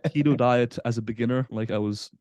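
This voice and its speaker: A man in his twenties from Belgium, a guest on a casual podcast interview, explaining in a relaxed way with frequent fillers. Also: medium pitch, brisk, dry recording, highs cut off. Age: 20s. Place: Southampton, UK.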